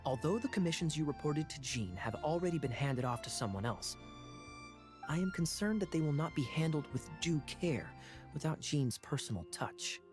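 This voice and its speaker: An actor playing a suave, sly young knight captain in an anime-style video game, smooth-talking and formally courteous. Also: male voice, fairly high pitch, expressive, normal speed, over music, close to an everyday voice. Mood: suave, evasive. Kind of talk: English anime game voice acting.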